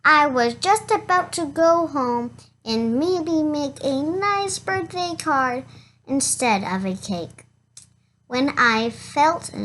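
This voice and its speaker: Someone reading a children's storybook aloud in a halting way, stumbling over words and going back over them. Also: medium pitch, fairly bright tone. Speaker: reader of a children's picture book.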